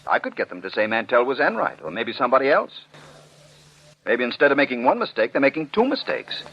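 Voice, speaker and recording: An actor playing a stern, skeptical commissioner in a 1940s radio drama, sarcastic and high-handed, full of pointed questions. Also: male voice, very animated, fast, slightly rough. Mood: skeptical, scornful. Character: stern, skeptical male commissioner, unyielding defender of jury verdicts. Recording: muffled vintage 1940s radio broadcast.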